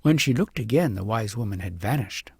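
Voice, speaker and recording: A man narrating an audiobook fairy tale expressively, with deliberate, dramatic emphasis. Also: fairly low pitch, animated, a little mellow, breathy edge, no room sound, studio quality.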